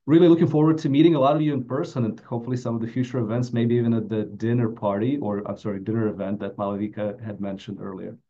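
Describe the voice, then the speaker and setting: Mellow man, dull audio. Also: lowish voice, steady tone, fast, hardly any pauses. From Latvia. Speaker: financial advisor presenting a webinar. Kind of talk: prepared webinar presentation. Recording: dull, muffled webinar audio.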